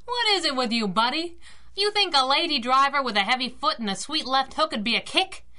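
A feisty young working-class woman driver from a 1930s radio serial. Her voice is high, bright and very animated. She talks in sassy slang and wisecracks. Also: a little fast. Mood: defiant, sarcastic. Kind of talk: 1930s radio drama acting.

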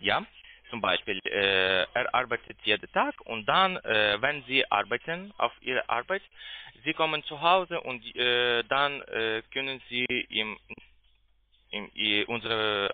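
Halting foreign-accented man on phone line. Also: lowish voice. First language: not German. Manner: halting, searching for words in broken phrasing. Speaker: phone sales agent pitching investments. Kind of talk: sales call. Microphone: telephone. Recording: tinny, muffled phone line.

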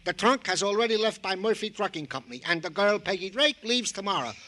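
An actor playing an excitable, fussy policeman in a radio crime drama, wordy and mock-formal in his exasperation. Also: high-pitched, quick pace. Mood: exasperated, wordy. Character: excitable, fussy male policeman reporting details. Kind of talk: old-time radio crime drama acting.

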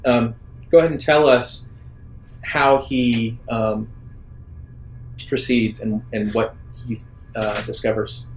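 Man narrating a tabletop game, halting phrasing. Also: medium pitch, level tone, faint music behind, low-quality recording. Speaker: game master.

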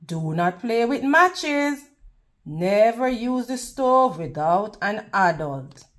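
A woman reading a picture book aloud to children in a teacherly way, pausing to prompt them with questions. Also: mid-range voice, very animated. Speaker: read-aloud host.

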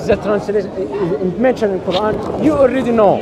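A man's voice: sits high, brisk. A man arguing back in broken English, interrupting and pleading to be heard in an outdoor debate. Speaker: debate participant. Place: crowded park outdoors. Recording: loud crowd chatter around.

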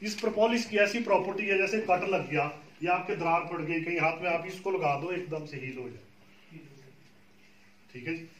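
Man, patient trainer explaining to farmers; slightly high voice, distant and roomy with faint background noise. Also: moderate pace, fairly bright tone. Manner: patient, explanatory, often checks with "ठीक है जी". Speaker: beekeeping trainer. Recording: echoey room, far from the mic.

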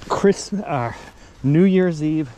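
A man with an American accent narrating his fishing trip on a creek bank, recorded on a chest-mounted action camera, relaxed and chatty but excitable when a fish bites. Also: fairly high pitch, slow, a little hiss or hum. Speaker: fishing vlogger. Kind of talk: casual outdoor narration. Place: creek bank outdoors in winter.

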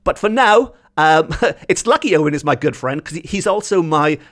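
A man's voice: a little high, expressive, moderate pace, fairly bright tone. A man hosting a podcast in a casual, chatty, self-deprecating way. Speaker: podcast host.

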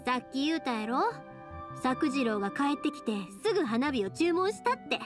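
A woman voicing a chatty, warm-hearted young fireworks maker with a Kansai accent in an anime-style game dub. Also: high-pitched, sing-song, moderate pace, music in the background. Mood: friendly, generous.

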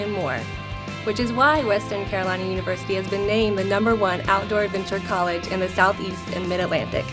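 Woman, 30s, scripted promo narration, polished, upbeat, music under voice. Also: mid-range voice. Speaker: international services staff. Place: university building lobby.

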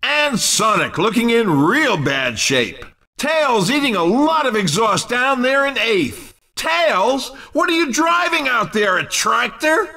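A man voicing an excitable, cheeky racing video game commentator, dramatic, teasing and emphatic in scripted sports style. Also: very high voice, takes it slowly, distant mic, full character voice. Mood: excited, teasing.

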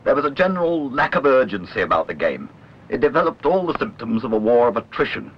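A man reading a literary documentary narration in a measured, wry way. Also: medium pitch, sing-song. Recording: dull lo-fi old film soundtrack, clear noise.

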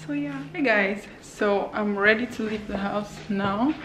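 A woman's voice: medium pitch, measured pace, fairly bright tone, a little hiss or hum, poor audio. A young woman in her twenties chatting casually to camera in a vlog, often laughing and talking straight to her viewers. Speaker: vlogger. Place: apartment indoors, later outdoors in a city. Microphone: handheld camera at arm's length.

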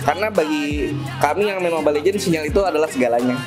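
Relaxed young man chatting in a cafe. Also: fairly high pitch, quick pace, music in the background. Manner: relaxed, chatty, many fillers and laughs. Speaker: tournament organizer. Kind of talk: casual interview. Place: cafe stage in front of a decorated wall, indoors.

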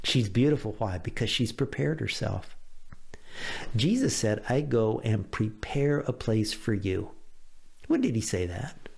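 A middle-aged American man speaks as a pastor giving a devotional. His voice has a gravelly creak, and he phrases things earnestly and deliberately, leaning on key words. He is close to a studio microphone in a small room. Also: on the low side, expressive, a little mellow. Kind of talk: devotional sermon to camera. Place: small home office, indoors. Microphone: close studio microphone on a boom arm.

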